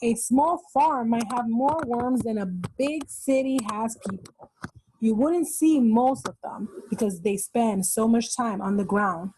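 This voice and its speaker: A woman's voice: on the high side, measured pace, warm tone, husky, low-quality recording. A woman reading a children's nonfiction book aloud, explaining as she goes and pointing out the pictures. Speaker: read-aloud host for children.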